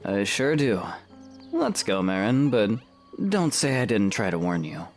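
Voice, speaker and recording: A man playing a calm, stoic young swordsman hero with an understated, dry humour in a fan audio drama. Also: lowish voice, measured pace, soft background music. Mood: amused.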